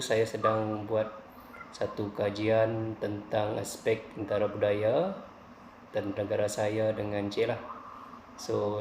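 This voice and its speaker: Flat-voiced man interviewing. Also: on the low side, slight background noise. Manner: polite, hesitant, many fillers. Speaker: interviewer, distance-learning student. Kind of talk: formal student interview. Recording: roomy, distant mic, faint ambience.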